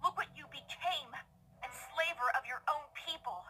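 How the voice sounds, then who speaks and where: A woman voicing an earnest, loyal young pony student, deliberate and defiant, in a cartoon fan dub. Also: high-pitched, pushed and bright, a little hiss or hum, rough recording. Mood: defiant, pitying.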